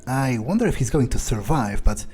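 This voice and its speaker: A man roleplaying a vengeful, sardonic vampire in a video game, speaking in a measured, dryly menacing way with a husky voice. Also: mid-range voice, animated, faint music behind, lightly acted. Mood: grim, sardonic. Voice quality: husky, dark. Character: vengeful, sardonic male vampire, battle-hungry player character. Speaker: gameplay video creator.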